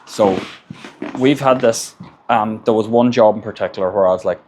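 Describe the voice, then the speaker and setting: Low-voiced Irish man giving business advice. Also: normal speed, a little mellow, a little hiss or hum. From Ireland. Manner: plain-spoken, repeats words while gathering thoughts. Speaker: construction business owner. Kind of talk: casual advice monologue.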